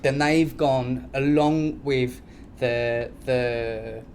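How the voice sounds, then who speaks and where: A man's voice: medium pitch, steady tone, extremely slow, a little mellow. A man co-hosting a comedy podcast, chatting casually in a rambling, slangy, sweary way. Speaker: podcast co-host. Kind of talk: casual comic podcast chat. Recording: clear background noise.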